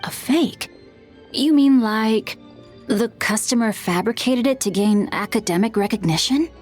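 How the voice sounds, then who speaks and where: A woman playing a studious, well-spoken teenage boy who explains things calmly and articulately in an anime video game. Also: fairly high pitch, unhurried, soft background music, close to an everyday voice. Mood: surprised.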